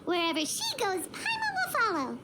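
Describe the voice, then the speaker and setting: A woman's voice: very high voice, wildly swooping pitch, normal speed, heavy background noise, heavily acted. A woman voicing a tiny, bold female fairy companion in a game, who speaks of herself in the third person. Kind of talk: video game cutscene dub. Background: game score music.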